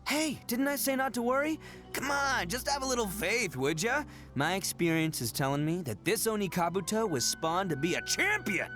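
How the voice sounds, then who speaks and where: Boastful oni brawler, brash, lively swooping delivery, raised pitch. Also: male voice, normal speed. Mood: bragging. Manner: boastful, loud laughs, brash. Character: boisterous horned oni man, cocky braggart. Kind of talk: game voice acting. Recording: game dialogue over music.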